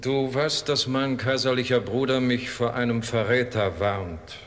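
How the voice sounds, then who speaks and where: An actor playing a stern, commanding grand duke with formal authority in a German audio drama. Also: male voice, medium pitch, unhurried, fairly bright tone, close to an everyday voice. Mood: grave, approving. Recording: long hall reverb.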